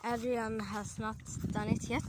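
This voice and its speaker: Slow, hesitant Swedish woman vlogging outdoors. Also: a little high, flat delivery, heavy background noise. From Sweden. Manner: hesitant, many fillers, chatty asides. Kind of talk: casual walking vlog. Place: outdoor campsite among trees.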